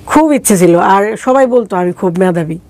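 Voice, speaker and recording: Mellow Bangladeshi woman recounting her life. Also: mid-range voice, lively intonation, moderate pace, dry recording. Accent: regional Bangladeshi. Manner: colloquial, acting out remembered dialogue. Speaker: interview guest. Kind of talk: conversational personal life-story telling.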